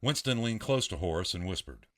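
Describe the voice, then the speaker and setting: A man narrating an audio drama in a steady storytelling voice. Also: low-pitched, fairly quick.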